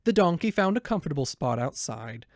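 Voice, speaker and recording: A man's voice: a little high, sing-song, fairly quick, no room sound, studio quality. A man narrating a children's storybook as a voiceover performer.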